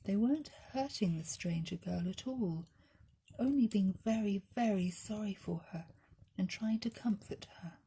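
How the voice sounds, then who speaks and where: A woman reading a children's story as narrator, in a gentle, deliberate storytelling manner. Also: mid-range voice, a little hiss or hum. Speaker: story reader.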